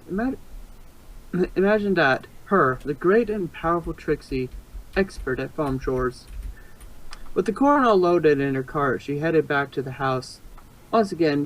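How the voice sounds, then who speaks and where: A man's voice: a little high, low-quality recording. A man reading fan fiction aloud as an amateur narrator, sometimes stumbling and rereading phrases.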